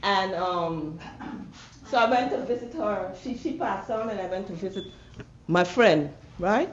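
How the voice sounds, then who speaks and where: A woman's voice: mid-range voice, a little slow. Older Guyanese woman reflecting, dull noisy recording. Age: older, about 70. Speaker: artist telling her story. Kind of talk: personal storytelling to an audience. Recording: dull sound with background room noise.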